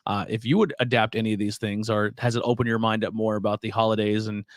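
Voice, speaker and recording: A man's voice: lowish voice, flat delivery, fast talker, no echo, studio quality. A man hosting a casual podcast, asking his co-hosts questions in a loose, joking way. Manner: loose, profane, asks the others questions. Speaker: podcast host.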